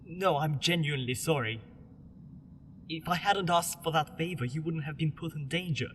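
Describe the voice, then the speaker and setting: An earnest, guilt-ridden captive in a radio play, speaking in a subdued way, played by a man. His voice sits slightly high. There is reverb and clear background ambience. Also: moderate pace, fairly bright tone, a little hiss or hum, close to an everyday voice. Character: captured young man, guilty and protective.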